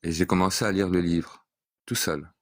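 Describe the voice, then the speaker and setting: Deep-voiced calm man explaining. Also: moderate pace, no room sound, clean studio sound. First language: French speaker. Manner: calm, methodical, recites verses. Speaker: debater. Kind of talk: online debate.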